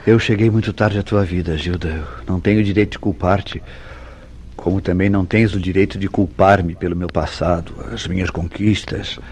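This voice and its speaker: Low-voiced jealous Brazilian husband. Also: male voice, steady tone, moderate pace, a little mellow, slightly rough. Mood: contrite. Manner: formal, theatrical, interrogating his wife. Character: jealous, suspicious newlywed husband, a temperamental painter. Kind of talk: radio soap opera acting. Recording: radio drama with music behind.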